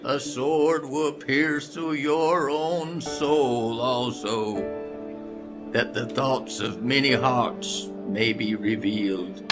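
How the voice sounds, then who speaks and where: An actor playing a devout, awed old temple prophet in a Bible audio drama, speaking reverently and declaiming. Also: male voice, medium pitch, very slow, music in the background. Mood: solemn prophecy.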